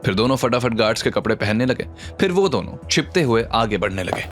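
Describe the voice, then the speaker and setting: A man's voice: medium pitch, very animated, fairly quick. A man narrating a scripted story in a dramatic audio series style. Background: background music.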